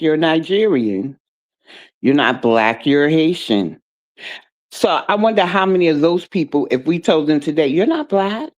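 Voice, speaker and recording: Blunt, sarcastic reaction commentator. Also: medium pitch. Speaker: commentary channel host. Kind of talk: reaction commentary on video clips.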